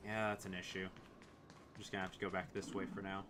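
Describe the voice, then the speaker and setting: A man's voice: deep voice, little pitch movement, brisk, background noise. A man doing casual gameplay commentary as a streamer, muttering and thinking aloud to himself. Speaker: game streamer. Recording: loud game music behind, keyboard clicks.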